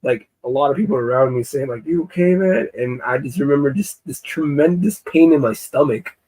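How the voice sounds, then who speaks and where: A man guesting on a live-streamed video chat, telling animated stories and laughing often. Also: medium pitch, brisk, husky, low-quality recording. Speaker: musician guest.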